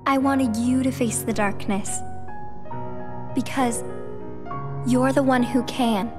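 A shy, soft-spoken teenage girl. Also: fairly high pitch, steady tone, takes it slowly. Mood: gentle. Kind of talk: video game voice acting. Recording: game audio with loud music underneath.

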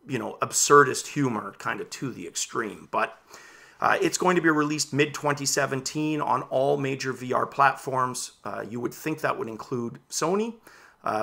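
A man's voice: medium pitch, creaky. A man in his thirties whose first language is Dutch, casually presenting VR news and his own opinions to camera from a home room full of game shelves, gesturing as he talks. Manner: animated, gestures while talking. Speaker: VR news vlogger.

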